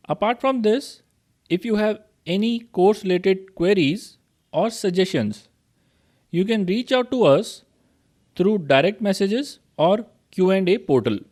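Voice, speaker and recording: Man, Indian accent, young adult, course instructor, slightly high voice, steady tutorial narration. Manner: steady explanatory tone, addresses students directly. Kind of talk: screen-recorded tutorial narration.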